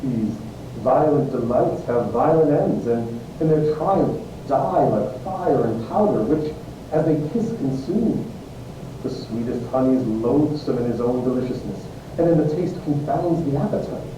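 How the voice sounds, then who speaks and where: A man playing a kindly older friar in an amateur Shakespeare reading, moralising in a measured, declamatory way. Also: lively intonation, close to an everyday voice. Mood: solemn. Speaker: amateur reader, English teacher. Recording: very echoey, distant, noisy with low rumble.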